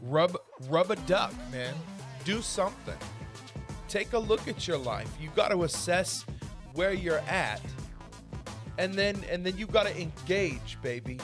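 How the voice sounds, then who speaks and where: A male podcast host with a slightly higher voice, rambling through slangy hype-man plugs. Music plays behind his loose, joking outro. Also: animated, measured pace.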